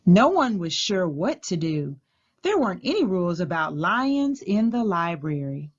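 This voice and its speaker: A woman reading a children's storybook aloud as a teacher would, clearly, deliberately and expressively. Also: lowish voice, sing-song, lo-fi sound.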